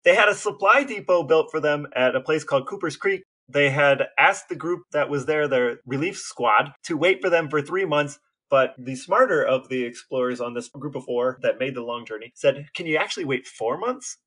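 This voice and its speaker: A man's voice: fairly high pitch, animated, moderate pace, hardly any pauses, fairly bright tone. An American man co-hosting a podcast, telling a story in casual conversation. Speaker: podcast co-host.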